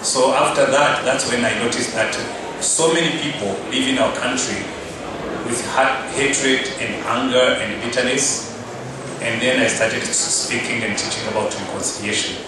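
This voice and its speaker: A man in his fifties from Rwanda, speaking slowly and with many pauses as he gives a personal testimony at a press briefing. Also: mid-range voice. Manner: measured, pausing with frequent "uh". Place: press conference room. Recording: very echoey room, mic far off, loud background noise.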